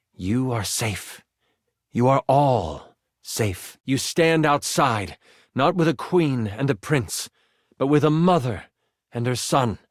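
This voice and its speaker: A man's voice: medium pitch, dry recording, studio quality. A man narrating as game master in a dramatic, theatrical, suspenseful fantasy storytelling style.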